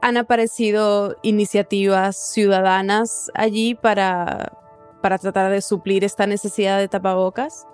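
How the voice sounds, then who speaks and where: A woman's voice: mid-range voice, level tone, normal speed, a little mellow, smooth, soft background music. A woman hosting a narrative news podcast, reading scripted narration and putting questions on a close mic. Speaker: podcast host.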